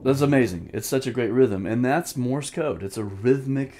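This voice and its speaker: A man's voice: medium pitch, normal speed, darkish tone. A man in his fifties giving an informal drumming lesson with personal reminiscence, speaking reflectively and repeating phrases for emphasis. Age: 50s. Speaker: drum teacher, host.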